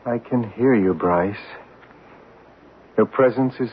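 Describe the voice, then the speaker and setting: An actor playing a male medium speaking slowly and haltingly from a deep trance, in a 1970s radio drama. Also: lightly acted. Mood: entranced. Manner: very slow, halting, dreamy. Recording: narrow, hissy old radio broadcast.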